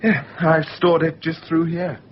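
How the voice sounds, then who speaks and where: A man's voice: a little high, flat delivery, unhurried, close to an everyday voice. An actor playing an insinuating man who casually needles and probes, in naturalistic radio drama style. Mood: chatty, probing. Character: Jon, insinuating, film-obsessed man steering every conversation. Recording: muffled, noisy off-air radio recording.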